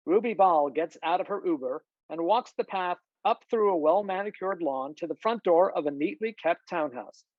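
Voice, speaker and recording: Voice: medium pitch, normal speed, hardly any pauses, no echo, highs cut off. A narrator reading screenplay stage directions and scene headings in a scripted style. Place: close, dry room on a video call.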